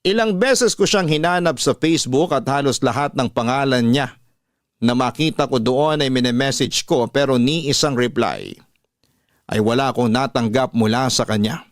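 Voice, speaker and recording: A man with a Filipino accent reading a personal story as a radio host, in measured, sentimental dramatic narration. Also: medium pitch, no echo, studio quality. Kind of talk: dramatic scripted narration of a letter.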